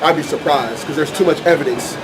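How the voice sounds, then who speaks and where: A man's voice: a little high, a little fast, background noise. An American man preaching and teaching scripture informally, arguing his points in an emphatic, repetitive, colloquial way. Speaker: street preacher and Bible teacher.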